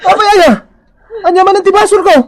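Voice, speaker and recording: A man's voice: very high-pitched, quick pace. An actor playing an exasperated husband fed up with his jealous wife in a comedy radio drama. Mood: exasperated, indignant. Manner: animated, bursts into exasperated protest.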